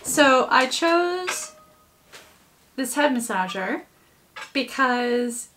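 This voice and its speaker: A woman presents a demonstration at a very unhurried pace. Her voice sits fairly high, and her phrasing is deliberate with a touch of theatre. There is clear noise and some clicking in the recording. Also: lively intonation, fairly bright tone. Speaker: puppetry presenter. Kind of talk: instructional demonstration with a co-host. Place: home kitchen.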